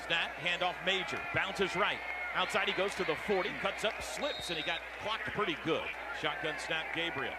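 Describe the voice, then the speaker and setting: An American man calling football play-by-play on the radio in clipped phrases, getting excitable on big plays. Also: high voice, moderate pace, over a noisy crowd. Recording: very noisy stadium radio broadcast. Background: loud stadium ambience.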